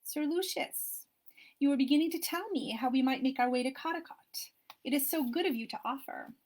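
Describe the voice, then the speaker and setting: A woman's voice: high-pitched, fairly quick, darkish tone, close to an everyday voice. A woman voicing a wispy, girlish young lady. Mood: coaxing.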